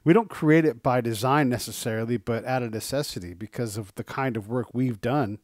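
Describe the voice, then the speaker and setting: A man's voice: mid-range voice, brisk. A man hosting a podcast interview, speaking in a plain, matter-of-fact way. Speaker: podcast host. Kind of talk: casual interview talk.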